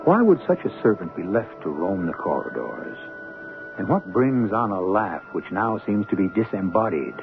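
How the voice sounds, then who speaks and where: A gravelly man narrates an old radio mystery. His delivery is measured and darkly theatrical, over clearly heard music. The sound is thin and narrow, like a vintage broadcast. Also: sits low, very animated. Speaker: radio drama host and narrator. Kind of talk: scripted dramatic narration, 1970s radio mystery. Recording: tinny band-limited 1970s radio broadcast.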